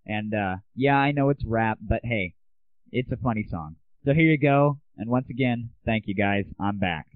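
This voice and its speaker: A man's voice: fairly low pitch, normal speed. A young man hosting a casual solo audio show, opinionated and irreverent, with mild swearing. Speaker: podcast host. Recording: lo-fi phone-like audio, music underneath.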